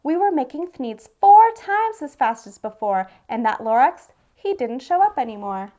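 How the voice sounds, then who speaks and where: A woman reading a rhyming children's story aloud with expressive narration. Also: high-pitched, moderate pace, dull audio. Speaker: storybook reader.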